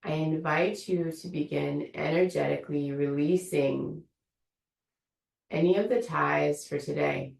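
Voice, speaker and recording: A woman teacher leading a guided meditation in a slow, soothing, invitational way. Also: sits low, fairly even intonation, gravelly, darkish tone, roomy sound, recorded from a distance. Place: room of an online yoga studio.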